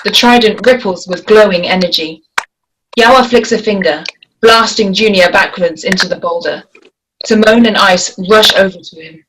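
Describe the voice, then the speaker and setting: A woman reads stage directions as narrator at a table read. Her voice is medium in pitch. There is faint noise in the background. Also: moderate pace.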